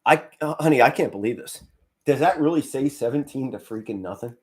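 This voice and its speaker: Hoarse middle-aged male fan commentator. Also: fairly quick. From Pennsylvania. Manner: subdued and low-key, with bursts of excitement. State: hoarse, straining to save his voice. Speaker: fan commentator on a live stream. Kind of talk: casual live play-by-play commentary.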